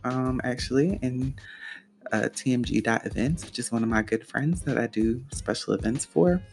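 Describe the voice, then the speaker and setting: A man's voice: mid-range voice, moderate pace, creaky, darkish tone, soft background music. A young man hosting his own podcast, talking casually with lots of fillers and restarts in a soothing voice. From Puerto Rico. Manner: rambling, chatty, many fillers and restarts. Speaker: podcast host. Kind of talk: casual solo podcast talk.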